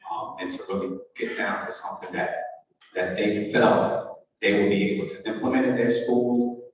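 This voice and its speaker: Man, low-pitched voice, formal explanatory presenter, school administrator, heavily echoing room, distant mic. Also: normal speed, a little hiss or hum. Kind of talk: formal presentation to a board meeting. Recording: very echoey, reverberant hall, distant mic.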